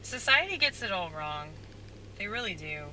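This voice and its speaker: A man rambling in a soft-spoken, halting way, full of fillers, as a stand-up comedian musing aloud. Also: high-pitched, very animated, noisy background. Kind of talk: rambling casual monologue.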